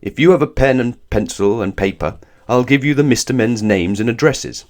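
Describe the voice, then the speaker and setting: A man voicing a jolly, kindly old Father Christmas with a rumbly, chuckling voice in a children's storybook. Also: moderate pace, natural voice. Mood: jolly, helpful. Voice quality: deep, rumbly. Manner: chuckling, warm. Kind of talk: children's storybook read-aloud.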